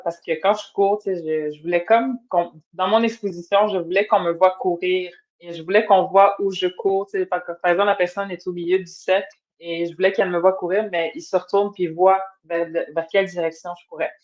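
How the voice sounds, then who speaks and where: A woman's voice: lowish voice, a little mellow. A woman from an Atikamekw community, a guest artist speaking casually and hesitantly on a podcast. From Atikamekw community in Quebec. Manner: hesitant, many fillers and restarts. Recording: dull lo-fi sound.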